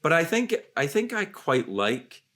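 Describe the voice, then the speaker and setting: A man in his sixties, hosting a candid conversation, talking in a reflective, halting way full of restarts. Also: on the high side, big pitch swings, fairly bright tone. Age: 60s. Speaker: podcast host.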